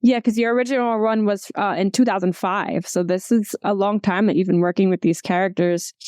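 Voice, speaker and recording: Female interview host, conversational, clean smooth tone, medium pitch, dry recording. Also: fairly even intonation, fairly quick, darkish tone, dry recording. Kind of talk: casual interview questioning.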